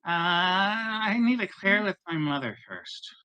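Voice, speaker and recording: A man's voice: high-pitched, expressive, slow, pushed and bright, smooth, voice pushed up, heavily acted. A man playing a polite, naive young boy in a tabletop game. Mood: innocent.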